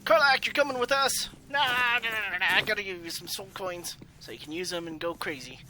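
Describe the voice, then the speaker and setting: A man giving casual, rambling let's play commentary as a gaming host, often halting and trailing off mid-sentence. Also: sits high, pushed and bright. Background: video game music.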